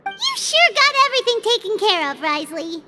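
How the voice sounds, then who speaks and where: Tiny greedy game fairy, high squeaky voice, lively swings in pitch. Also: female voice, a little fast, full character voice. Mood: chirpy. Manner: talks about herself in the third person. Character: small floating female fairy companion, chirpy and greedy. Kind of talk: video game dub. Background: game music.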